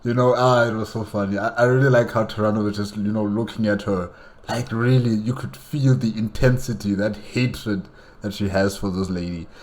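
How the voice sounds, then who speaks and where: A man with a fairly low voice gives casual reaction commentary. He talks haltingly, repeating himself while he looks for the next word. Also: fairly even intonation, a little mellow. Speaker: reaction video host.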